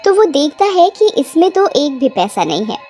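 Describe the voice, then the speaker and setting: Lively, high-voiced woman storyteller over music. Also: normal speed. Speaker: narrator. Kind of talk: scripted storybook narration for a cartoon. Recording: music behind the voice.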